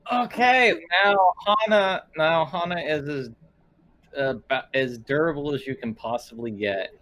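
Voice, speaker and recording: A man chatting casually as a game player, halting and often repeating his words as he reasons. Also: a little high, fairly bright tone, low-quality recording. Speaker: player in a tabletop roleplaying game. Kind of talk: casual chat.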